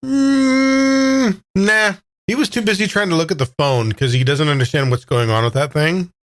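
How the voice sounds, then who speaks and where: A man's voice: high-pitched, normal speed. A man playing a tabletop game, bantering casually about his character.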